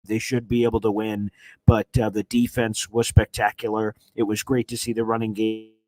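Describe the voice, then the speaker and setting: Hoarse, excited man on a dry mic. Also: lowish voice, moderate pace, dry recording, low-quality recording. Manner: excited, rambling, with frequent "uh" fillers and restarts. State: hoarse, voice nearly gone from screaming. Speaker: sports reporter and livestream host. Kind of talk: live sports reaction livestream. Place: hotel room. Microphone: streaming setup on weak hotel wifi.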